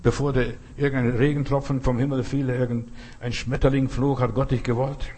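Husky-voiced man preaching with emphasis. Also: medium pitch, little pitch movement, moderate pace, warm tone. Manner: emphatic, repeats phrases, cries hallelujah. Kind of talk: charismatic sermon.

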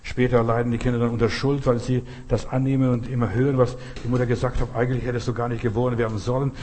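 A man's voice: mid-range voice, little pitch movement, fast, a little mellow, slight background noise. A man giving a charismatic sermon, stressing and repeating short phrases. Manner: emphatic, repeats phrases, cries hallelujah. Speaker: preacher.

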